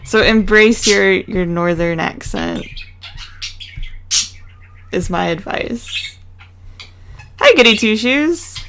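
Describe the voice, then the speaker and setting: Slow-talking Southern woman streamer, noisy audio. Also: medium pitch. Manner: relaxed, chatty, laughs often, talks to chat by name. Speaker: artist live streaming, reading chat. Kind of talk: casual chat while drawing.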